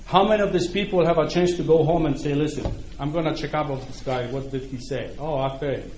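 A middle-aged man with a Polish accent, whose first language is Ukrainian, delivers a sermon in a chatty, back-and-forth way, often asking his listeners if they follow. His voice sits slightly high for a man. The church hall is roomy and echoey, with a faint hum of background noise. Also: fairly quick, a little mellow. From Poland. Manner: conversational, often checks in with listeners. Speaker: preacher. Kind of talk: sermon to a congregation.

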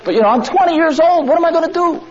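A man voicing an anxious, aimless young male college student who talks in a high, rushed, fretful way. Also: expressive, a little mellow. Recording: dull lo-fi recording.

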